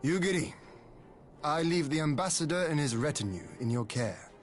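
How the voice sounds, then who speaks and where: An actor playing a dignified, plain-spoken, wary lord in a video game, with a formal, measured, direct manner. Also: male voice, a little high, animated, lightly acted. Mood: composed, gracious. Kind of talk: scripted video game voice acting. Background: background music.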